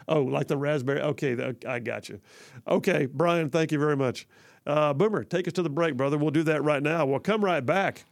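A man from with a gravelly, fry-laced voice hosts talk radio in a folksy, rambling way. His voice sits at an average pitch and sounds close and dry in the studio, with no room around it. He restarts sentences often and fills gaps with "uh" and "um.". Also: moderate pace, no room sound. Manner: folksy and chatty, frequent fillers and restarts. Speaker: radio talk show host. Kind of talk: talk radio, reading listener messages and ad reads. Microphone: studio microphone.

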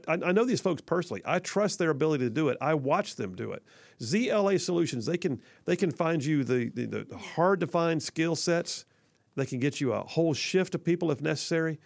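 Man, talk radio host, gravelly, dry close studio sound, folksy, chatty. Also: on the high side, dry recording. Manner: folksy and chatty, frequent fillers and restarts. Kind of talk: talk radio, reading listener messages and ad reads. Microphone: studio microphone.

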